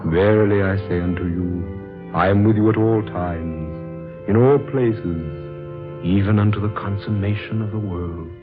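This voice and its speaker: Actor as a deep, slow, solemn holy man. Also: natural voice. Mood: solemn assurance. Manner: slow, stately, solemn scriptural declamation. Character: solemn, gentle holy Christ figure reciting scripture. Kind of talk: 1940s American radio drama acting. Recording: muffled vintage radio recording with music.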